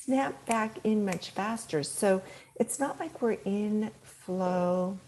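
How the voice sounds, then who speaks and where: A middle-aged woman with a soft voice, talking as a podcast guest in an animated, coaching way, laughing often. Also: mid-range voice, slow, a little hiss or hum. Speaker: guest, author and speaker. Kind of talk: podcast interview talk.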